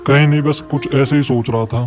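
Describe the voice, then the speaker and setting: A man's voice: mid-range voice, fairly even intonation, brisk, soft background music, close to an everyday voice. A man voicing a troubled male animal who guards the forest against thieves, in a cartoon story. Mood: worried. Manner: earnest, troubled. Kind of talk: cartoon voice acting.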